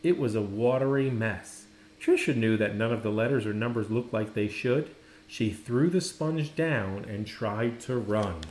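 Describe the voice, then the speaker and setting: A man narrating a children's storybook expressively, with dramatic, deliberate phrasing and pauses. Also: lowish voice, a little mellow, a little hiss or hum. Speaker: storybook reader. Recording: roomy, echoing indoor sound.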